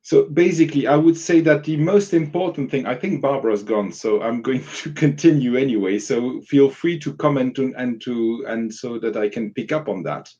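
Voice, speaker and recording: Man explaining workshop tips, bright-toned yet lo-fi. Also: medium pitch, normal speed, few pauses. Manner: explanatory, illustrates with acted-out example questions. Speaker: workshop facilitator giving advice. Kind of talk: informal live online discussion.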